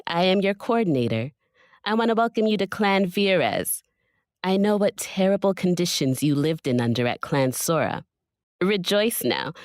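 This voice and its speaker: A woman playing an authoritative colony coordinator in an audio drama, composed and measured, with a stern edge. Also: fairly low pitch, dry recording, clean studio sound. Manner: commanding, measured. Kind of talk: audio drama acting, formal announcement.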